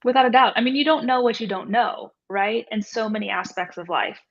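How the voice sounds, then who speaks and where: A woman's voice: a little high, a little fast, vocal fry. Dull-sounding recording of a woman explaining. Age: 30s to 40s. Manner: explanatory, often checking with "right?" and "you know". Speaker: nutrition coach and guest. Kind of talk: conversational interview answers. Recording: dull, lo-fi audio.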